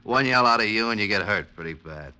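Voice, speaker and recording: A man's voice: lowish voice, animated, brisk, pushed and bright, smooth. An actor playing a menacing male thug in a 1950s radio drama, who talks in threatening slang.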